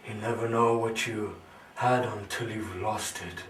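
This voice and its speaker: An actor playing an anguished, brooding scientist villain in a theatrical monologue, grandiose and melancholy, with halting dramatic pauses. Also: male voice, lowish voice, steady tone, fairly bright tone. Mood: sorrowful, wistful. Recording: roomy, distant, slightly noisy recording.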